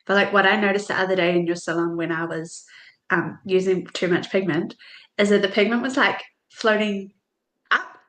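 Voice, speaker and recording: A woman guest in a casual podcast chat who asks questions in a hesitant, halting way. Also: medium pitch, fairly even intonation, fairly bright tone.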